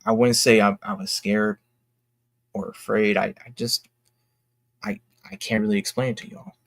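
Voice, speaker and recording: A young man talking casually and candidly to his fans in a halting, reflective, earnest way, as an independent creator. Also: on the low side, steady tone, vocal fry, dry recording. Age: young adult. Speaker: indie comic creator and vlogger.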